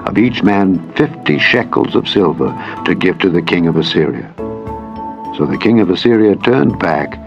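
A man reading scripture as a dramatized audiobook narration, solemn and measured, with deliberate phrasing. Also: low voice. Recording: dull band-limited audio with music behind. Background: background music.

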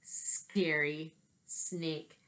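Lower-pitched woman, chatty teacher. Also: drawn-out words. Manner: animated, expressive, chatty. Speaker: elementary school teacher. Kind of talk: casual video message and children's read-aloud. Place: small bedroom at home, indoors. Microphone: laptop webcam at desk distance.

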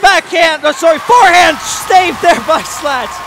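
A man calling play-by-play for a hockey shootout, rising to shouted goal calls while his voice is going. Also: very high voice, measured pace. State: losing his voice. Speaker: play-by-play commentator. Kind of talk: excited sports commentary. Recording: crowd and arena noise behind.

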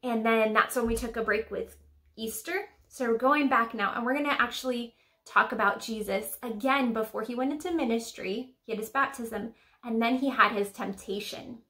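High-voiced young woman teaching kids. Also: normal speed, sharp tone. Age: young adult. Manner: simple, chatty, questioning address to children. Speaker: children's church teacher and host. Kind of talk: children's Sunday school lesson to camera. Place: small decorated room indoors.

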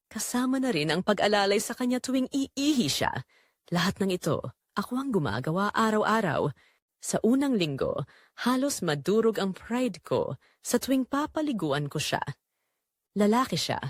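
A woman's voice: on the low side, no echo, clean studio sound. A woman with a Filipino accent narrating a scripted first-person drama as an earnest young caregiver confessing her inner turmoil.

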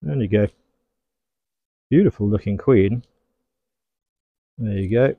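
A man's voice: lowish voice, slow pace, no room sound, narrow, tinny audio. A middle-aged man working at his beehives outdoors in a garden apiary, giving easygoing running commentary and talking fondly to the bees. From England. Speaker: beekeeper. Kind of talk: hands-on commentary while working.

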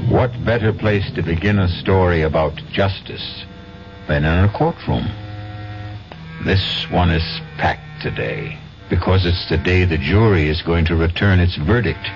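A man narrating a 1970s radio drama as a knowing, confiding storyteller in a measured, theatrical way. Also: low voice, lively intonation, creaky, over music, heavily acted. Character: knowing storyteller introducing a courtroom tale. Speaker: narrator and host of a radio mystery series. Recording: dull lo-fi 1970s radio broadcast.

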